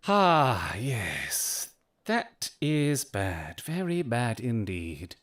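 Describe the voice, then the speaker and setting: A man playing a shrewd, urbane gentleman detective in an audio drama, speaking formally and precisely with dry, theatrical irony. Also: medium pitch, huge pitch swings, drawn-out words, full character voice. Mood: crisp, probing.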